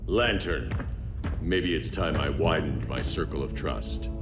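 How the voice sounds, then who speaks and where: An actor playing a grim, distrustful vigilante superhero leader in a video game cutscene, terse and authoritative. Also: male voice, very deep voice, a little slow. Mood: commanding. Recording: loud cinematic music behind.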